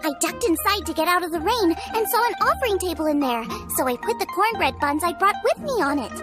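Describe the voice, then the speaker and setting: A cheerful young game cook with a girlish, high voice. She chats openly and earnestly, with lively intonation and music behind her. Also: fairly quick. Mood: cheerful, explaining. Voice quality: youthful, girlish. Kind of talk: video game character dialogue.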